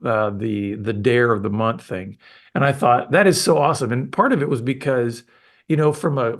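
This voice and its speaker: Gravelly-voiced male podcast host. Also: medium pitch. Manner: rambling, enthusiastic, many restarts. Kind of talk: casual interview chat.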